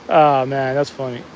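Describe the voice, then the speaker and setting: Man, New Jersey accent, casual live-stream chatter, outdoors by the water, light vehicle noise in the background. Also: medium pitch. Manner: chatty, answers viewer comments, frequent restarts. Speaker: fishing livestream host. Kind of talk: casual live-stream chat while fishing. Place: outdoors at a fishing spot, walking the bank.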